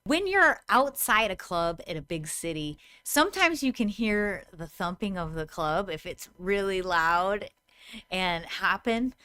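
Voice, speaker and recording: A woman's voice: mid-range voice, bright, forceful tone, clear, resonant tone, no echo. A woman in her thirties giving a tutorial to camera in a conversational, explanatory manner. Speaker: host, VR game designer.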